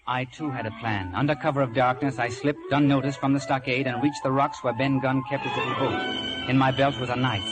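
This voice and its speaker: A man's voice: medium pitch, steady tone, brisk, music in the background, natural voice, rough recording. An actor playing an earnest narrator telling his own pirate adventure in a vintage radio drama. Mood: earnest, suspenseful. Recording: old radio recording.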